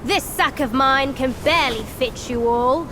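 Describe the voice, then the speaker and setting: Mocking demon boss, drawls slow, purring, high pitched. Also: female voice. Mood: gloating. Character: sly gloating demon master collecting captives. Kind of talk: video game cutscene acting. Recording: game cutscene with loud ambient noise.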